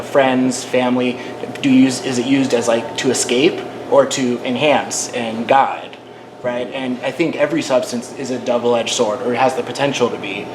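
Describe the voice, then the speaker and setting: A man speaking casually on a panel, peppering his talk with fillers. The room around him is echoey, with a steady noise behind. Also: mid-range voice. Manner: casual, with frequent "like" and "you know". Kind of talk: panel discussion answer.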